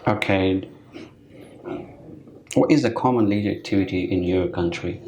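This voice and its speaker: A man acting as a speaking-test examiner. He asks slow, hesitant questions full of fillers and keeps humming along as the candidate answers. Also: low voice, background noise. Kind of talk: mock exam questioning. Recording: echoey room.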